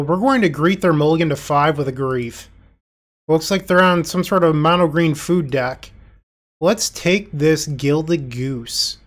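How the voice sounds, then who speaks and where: Congested man narrating a card game. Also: a little high. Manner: self-deprecating, thinking aloud. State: congested, nasal from illness. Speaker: gameplay commentator. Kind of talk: casual play-by-play commentary.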